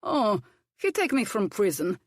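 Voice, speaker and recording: A man's voice: sits high, huge pitch swings, unhurried, dry recording, studio quality, full character voice. A woman voicing a blunt, bossy male ship's cook who speaks broken English, in a storybook reading. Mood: matter-of-fact. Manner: broken English, curt commands.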